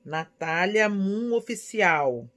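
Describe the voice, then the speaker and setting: A woman in her forties from São Paulo with a Brazilian accent, chatting casually and affectionately as she answers viewers' questions in an expressive, conversational way. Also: medium pitch, slow pace. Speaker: vlogger. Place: small indoor room at home.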